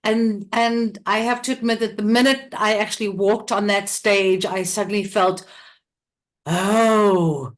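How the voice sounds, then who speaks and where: A middle-aged woman from South Africa chats as a guest in an interview. She laughs easily and restarts her sentences often, keeping an ordinary mid-range pitch throughout. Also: fairly even intonation, unhurried. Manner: chatty, laughs often, many restarts and fillers. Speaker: interview guest, theatre company director. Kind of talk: casual interview talk.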